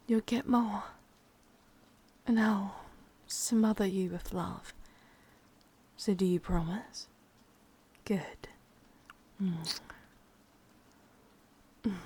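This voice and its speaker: A woman with an English accent playing a possessive, doting witch captor in a whispered, intimate ASMR roleplay, breathy and softly commanding. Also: medium pitch, full character voice. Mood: tender, coaxing.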